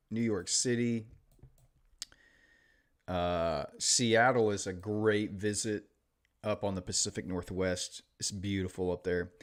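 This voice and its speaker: A man with a mostly Midwestern American accent and a faint Texan twang talks in a relaxed, easygoing way. He reads viewer comments aloud and answers them in casual live-stream chat, his voice sitting a little low. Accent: mostly Midwestern American, slight Texan. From Illinois, later Texas. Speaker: day trader streaming live.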